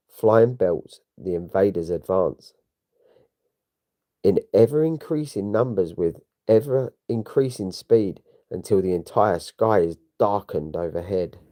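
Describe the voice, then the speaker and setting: A man's voice: on the low side, dark tone. A man giving a theatrical, grandiose scripted narration of a comic-book story. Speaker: narrator of a comic read-along.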